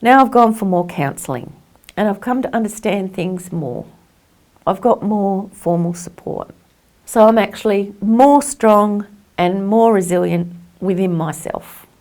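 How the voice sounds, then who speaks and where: A woman's voice: mid-range voice, a little slow, darkish tone. A woman giving reflective personal testimony as a carer, speaking earnestly and with emphasis. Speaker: carer sharing personal experience.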